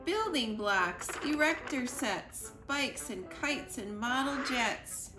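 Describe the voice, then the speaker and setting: A woman reading a children's picture book aloud in an expressive storytime style, with deliberate, playful emphasis on the rhyming words. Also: a little high. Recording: roomy echo with music behind. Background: music.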